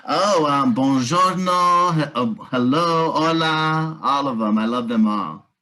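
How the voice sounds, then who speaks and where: A man's voice: fairly high pitch, slow, pushed and bright. A man introducing himself as a behavioral scientist on a video panel, polite and formal.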